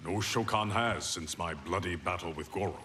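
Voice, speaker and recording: An actor playing a noble, stern warrior emperor in a video game, with a formal and regal delivery. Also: male voice, sits low, moderate pace, sharp tone, distant mic, a little hiss or hum. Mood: grave, commanding. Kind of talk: video game cutscene acting.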